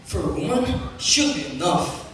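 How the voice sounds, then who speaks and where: A man's voice: sits high, lively intonation, normal speed. A young man acting an ardent, self-assured young suitor in an amateur stage play. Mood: insistent. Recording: echoey hall from far off, noisy.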